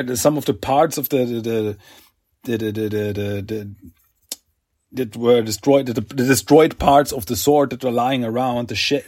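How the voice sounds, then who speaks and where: Chatty husky man recapping comics. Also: medium pitch, a little mellow, dry recording, clean studio sound. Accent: slight French. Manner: chatty, many fillers and restarts, acts out quotes. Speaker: podcast host.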